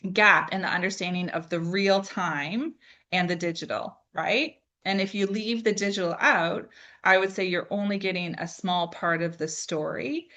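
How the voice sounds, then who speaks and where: A woman's voice: lowish voice, fairly bright tone, muffled recording. A woman speaking as an expert presenter in an informal talk, explaining things with rhetorical questions and conversational check-ins. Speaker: AI expert presenting an online discussion.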